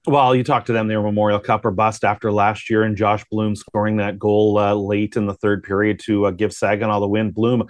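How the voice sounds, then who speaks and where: Male sports broadcaster, lower register, conversational analysis with rhetorical questions, no room echo. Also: little pitch movement, a little fast, few pauses. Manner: thinks aloud with rhetorical questions.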